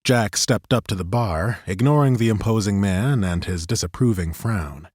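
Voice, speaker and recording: A male narrator reading a fantasy novel aloud in a studio booth. His voice sits fairly low, and his storytelling is steady and even. The sound is dry and close to the mic. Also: normal speed, husky, dry recording. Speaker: audiobook narrator. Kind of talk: scripted fantasy audiobook narration.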